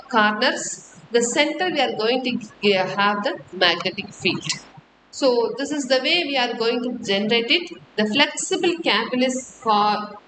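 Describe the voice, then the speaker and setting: A woman with an Indian accent delivers a technical lecture in a fairly high voice. She explains step by step in a halting, repetitive way. The room echoes around her and a clear hiss runs under the recording.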